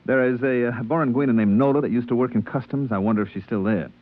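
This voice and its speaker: A man's voice: medium pitch, fast talker, lightly acted. Tinny vintage-radio spaceship purser, played by a man. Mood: uncertain. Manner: colloquial, hesitant in dialogue. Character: easygoing spaceship purser recounting his voyages. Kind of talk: 1950s radio drama acting and narration. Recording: tinny vintage radio broadcast, music behind.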